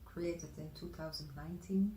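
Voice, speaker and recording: A woman from the Netherlands giving explanatory interview answers as a guest, halting between short phrases. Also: fairly low pitch, steady tone, mellow. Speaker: guest, saving circles trainer. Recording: echoey room, faint background noise.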